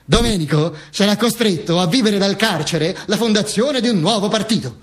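Man acting high-voiced, pompous, stammering talking dictator's corpse. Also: normal speed, breathy edge. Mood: wry, mock-solemn. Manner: stammering, repetitive, self-correcting, mock-solemn. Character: Mussolini's talking corpse, wry, pompous, rambling storyteller. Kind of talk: theatrical monologue.

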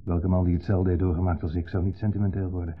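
An actor playing a wistful, sentimental divorced man in a 1970s Dutch radio play, speaking haltingly and reflectively in short fragments. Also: sits low, flat delivery, close to an everyday voice. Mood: intense, brooding. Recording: muffled, lo-fi 1970s radio recording.

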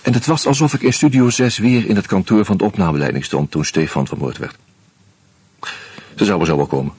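A man narrating a radio drama as a weary man investigating a murder, in a measured, grim, low-key way. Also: a little mellow, slightly rough, no room sound. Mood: tense. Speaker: first-person narrator and detective figure.

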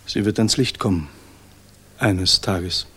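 Low-voiced, guarded German engineer. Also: male voice, takes it slowly, a bit bright, breathy edge, exaggerated voice. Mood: guarded, grave. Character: reserved, guarded male military aircraft engineer, coolly factual. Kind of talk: 1960s German radio drama acting.

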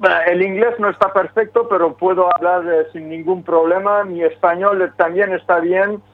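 A man from Quebec, Canada, who speaks French as his first language, talking haltingly with many restarts in a phone interview about his band. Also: high-pitched, steady tone. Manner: hesitant, many restarts and fillers. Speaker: singer of a metal band, interviewee. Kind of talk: phone interview, casual talk. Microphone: telephone. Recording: phone line.

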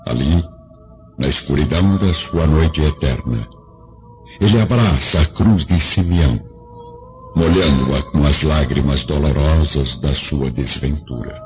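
A man's voice: a bass voice, measured pace. A Brazilian man narrating a radio drama from a script in a solemn, theatrical manner. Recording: dull lo-fi audio, loud music behind. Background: dramatic music.

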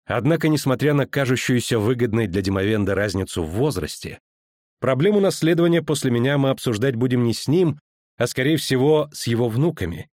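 Man reading as a cool elf sorceress, measured and a little ironic, dry sound. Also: mid-range voice, lively intonation, a little mellow, no room sound, close to an everyday voice. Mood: assured ironic. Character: poised ageless female elf sorceress, dry and assured.

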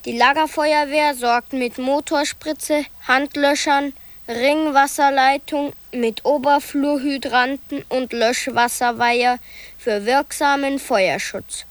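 Woman reading a document aloud, matter-of-fact, unhurried, fairly high voice, dry close sound, listing details calmly. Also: no echo. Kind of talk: scripted reading of an official document.

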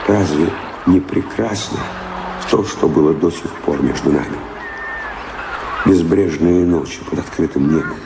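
A passionate, restless wanderer in a radio play. The voice is deep and gravelly, declaiming slowly and poetically. It sits in a roomy echo with music underneath. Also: male voice, background noise, exaggerated voice. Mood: rapturous, wistful. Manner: poetic, declamatory, drawn-out delivery. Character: restless male wanderer, passionate, tormented lover longing for sea. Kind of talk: theatrical radio drama acting.

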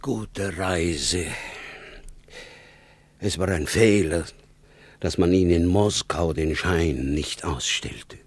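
An actor playing an anxious, evasive Soviet official in a radio play, stammering and repeating himself in a husky voice. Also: male voice, deep voice, slow, long pauses, no echo, full character voice. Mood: resigned, pained. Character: anxious Soviet spa director, evasive, agonized petty official.

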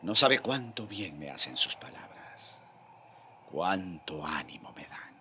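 An actor playing an elderly, ailing but stubborn friar in a radio drama, husky and breathy, fervent and tender. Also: male voice, low voice, big pitch swings, slow, bright, forceful tone, a little hiss or hum, full character voice. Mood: weary, grateful.

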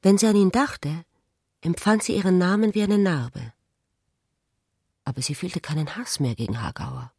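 A woman reading literary narration in a measured, contemplative manner for a radio play. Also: fairly low pitch, darkish tone, husky, no echo, clean studio sound.

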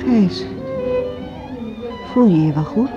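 Radio play part: gentle older woman, Netherlands Dutch accent, medium pitch, muffled beneath loud strings. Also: lively intonation, takes it slowly. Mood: concerned. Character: ageing, gentle, attentive woman musician. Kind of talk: naturalistic Dutch radio drama acting. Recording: dull radio play, loud violin music.

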